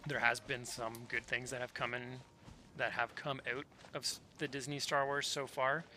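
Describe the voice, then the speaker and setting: A man's voice: medium pitch, level tone, moderate pace, sharp tone, soft background music. A man livestreaming a game, chatting casually as he comments on the play. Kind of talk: casual chat commentary over gameplay. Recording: noisy stream with clicks.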